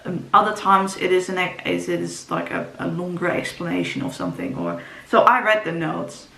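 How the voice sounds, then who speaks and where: Young woman, rambling book chat, lots of ums, lowish voice, roomy echo. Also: very animated, moderate pace, creaky, sharp tone. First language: Dutch. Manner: rambling, frequent ums and restarts. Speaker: book vlogger. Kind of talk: casual book talk to camera. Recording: roomy echo, faint ambience.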